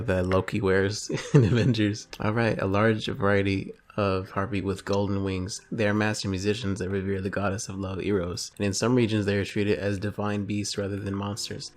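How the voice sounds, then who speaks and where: A man talking casually as a co-host, laid-back and joking, reading entries aloud between remarks. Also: fairly low pitch. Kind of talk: casual chat.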